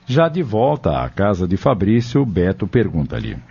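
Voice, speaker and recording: A man's voice: fairly low pitch, very animated, unhurried, heavy background noise. A man with a Brazilian accent reading scripted narration for an audio novel. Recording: dull recording with faint music.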